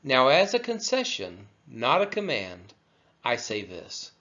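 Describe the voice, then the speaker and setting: A man reading scripture aloud from a text, with measured, emphatic phrasing. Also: medium pitch, huge pitch swings, pushed and bright, dull audio. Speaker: scripture reader.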